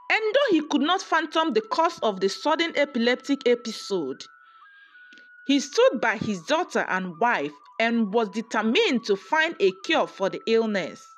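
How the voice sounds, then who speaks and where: A woman narrating a scripted folktale in an expressive storytelling manner. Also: on the high side, slight background noise. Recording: music under the voice. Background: background music.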